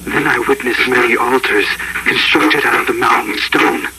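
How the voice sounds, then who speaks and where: A shaken anthropologist, played by a man, confesses in a halting, haunted way for an analog horror story. His mid-pitched voice has a gravelly creak, and the gritty tape is thick with noise. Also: fairly even intonation, lightly acted. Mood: fearful, haunted. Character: shaken male anthropologist confessing a dreadful mountain discovery. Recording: gritty, very noisy tape recording.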